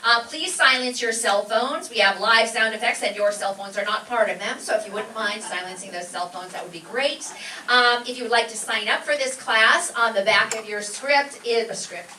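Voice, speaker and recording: A woman's voice: a little high, normal speed, pushed and bright. An American woman giving an effusive, informal welcome speech to an audience as a class instructor, recorded in a live event room. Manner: effusive, enthusiastic. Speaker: class instructor introducing a show. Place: live event room at a community learning center. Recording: roomy, distant mic with background noise.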